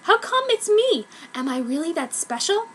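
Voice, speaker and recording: Woman plays sulky goth schoolgirl, storybook heroine, naive, very high bright voice. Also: very animated, normal speed, slight background noise, exaggerated voice. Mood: amazed.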